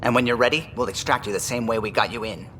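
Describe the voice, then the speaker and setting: An actor playing a cautious, practical starship pilot in a video game. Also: male voice, mid-range voice, fast talker, sharp tone, a little hiss or hum, lightly acted. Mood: matter-of-fact. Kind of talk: video game cinematic acting.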